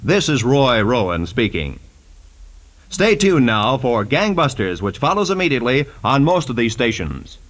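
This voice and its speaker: A man's voice: mid-range voice, very animated, moderate pace, a bit bright. An American man reading scripted credits and promotions as a polished, formal 1940s radio announcer. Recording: vintage 1940s radio broadcast.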